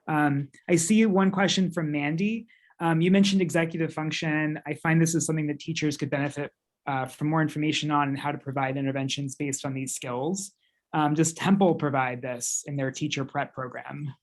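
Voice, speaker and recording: A woman's voice: deep voice, steady tone, moderate pace. A woman moderating a webinar informally, relaying questions with hesitant, tentative phrasing.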